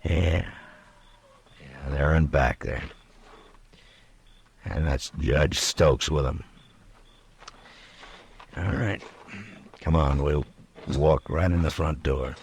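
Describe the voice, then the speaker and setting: An American actor playing a tough, steady frontier marshal in a radio western, speaking in a dry, commanding way. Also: male voice, rumbling low, extremely slow, vocal fry, pauses often, slightly rough, heavily acted. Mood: wary, determined. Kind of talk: old-time radio western acting.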